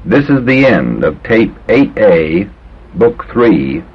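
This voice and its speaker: A man reading English drill words and sentences for a language tape in a slow, measured way. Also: on the low side, very animated, husky. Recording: dull old language tape.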